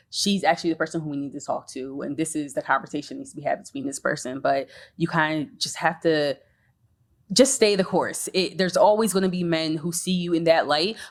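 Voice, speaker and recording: A woman speaking on a business panel as an agency leader, calm and steady with many pauses. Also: low voice. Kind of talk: panel discussion.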